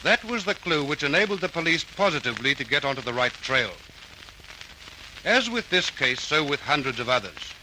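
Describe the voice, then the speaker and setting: Male radio crime narrator, old-time formal style, piercingly bright, slightly hissy recording. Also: on the high side, sing-song, low-quality recording. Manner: formal, earnest, measured.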